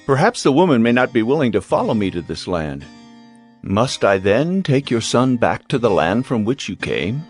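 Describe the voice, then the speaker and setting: An actor playing a humble, devout servant, speaking earnestly and reverently in a dramatized scripture reading. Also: male voice, mid-range voice, very animated, moderate pace, faint music behind, lightly acted. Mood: hesitant, questioning.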